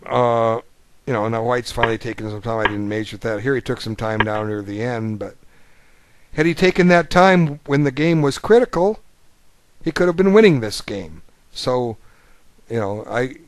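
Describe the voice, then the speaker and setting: A man with a fairly low voice talks through a chess game as a coach. His commentary is informal and rambling, in a folksy, conversational manner. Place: voice-over of an on-screen chess board.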